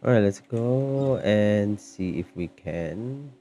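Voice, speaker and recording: A man giving casual, low-key, hesitant livestream commentary while he plays a video game. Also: expressive, slow pace, mellow, slight background noise. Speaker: game streamer. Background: game music.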